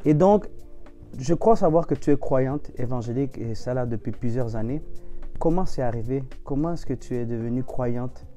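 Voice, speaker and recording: Mellow-voiced male gospel show host. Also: medium pitch, normal speed, soft background music. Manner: formal, welcoming. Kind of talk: scripted show introduction and interview.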